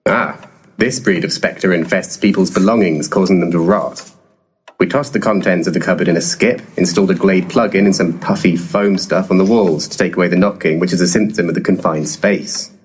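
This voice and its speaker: A man playing an eccentric, drunken ghost hunter in a comic audio drama, husky, theatrical and imperious. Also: low voice, steady tone, fairly quick, a little hiss or hum, natural voice. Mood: breezy, matter-of-fact.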